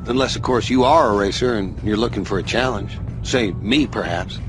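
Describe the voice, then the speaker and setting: A man's voice: lowish voice, moderate pace, close to an everyday voice. An actor playing a cocky, swaggering male space racer who taunts with a showy manner, in a game cutscene. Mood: taunting. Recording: game cutscene with loud music.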